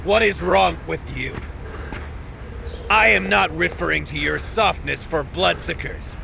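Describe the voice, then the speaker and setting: A cold, condescending doctor-zealot, voiced by a man in a fan-made motion comic. His voice is heavily gravelly, sharp-edged, and pitched a little high. He lectures in clipped, precise lines. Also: measured pace, a little hiss or hum, heavily acted. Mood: disdainful. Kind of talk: fan dub voice acting.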